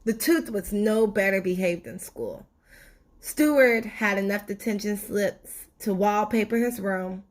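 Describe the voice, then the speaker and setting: A woman narrating a children's storybook expressively, with deliberate, dramatic phrasing. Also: mid-range voice. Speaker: storybook reader.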